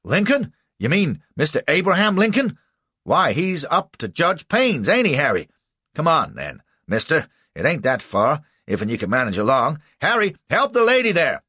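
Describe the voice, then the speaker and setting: A man voicing an excited, folksy small-town man who urgently gives orders in a rustic drawl. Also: on the high side, moderate pace, breathy edge, dry recording, voice pushed up, heavily acted. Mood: urgent, bustling.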